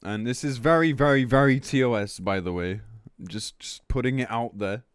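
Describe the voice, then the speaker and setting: A man's voice: mid-range voice, moderate pace, clear, resonant tone, dry recording, clean studio sound. A man speaking as a game streamer in a casual livestream rant, blunt, sweary and exasperated as he reads and reacts. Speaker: game streamer commenting on news.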